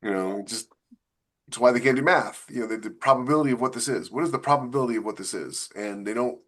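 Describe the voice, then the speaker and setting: A man's voice: medium pitch, fast talker, a bit bright. A man co-hosting a podcast, explaining things casually and with opinionated emphasis. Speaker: podcast co-host.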